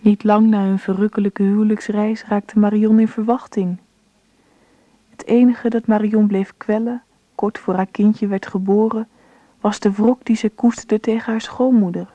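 Actress as a woman narrating a radio play, really mellow storytelling voice, close dry sound. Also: medium pitch, level tone, moderate pace, dry recording, highs cut off, lightly acted. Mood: calm, reflective. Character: grown daughter recalling the story as narrator.

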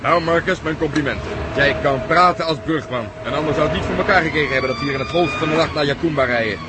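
A man's voice: fairly high pitch, fast, natural voice. An actor playing a blunt, mocking, sceptical man in a radio play, teasing those around him. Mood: jovial teasing. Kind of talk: Dutch radio play acting. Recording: noisy radio play, vehicle drone.

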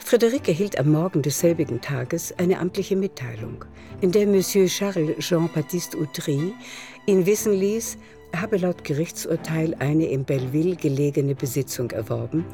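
A woman's voice: low voice, normal speed, mellow. A woman narrating a literary radio play as a scripted storyteller, with faint music underneath.

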